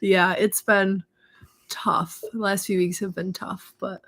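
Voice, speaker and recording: A woman co-hosting a casual live stream, hoarse and losing her voice, chatty and laughing often. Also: medium pitch, level tone, takes it slowly, a bit bright.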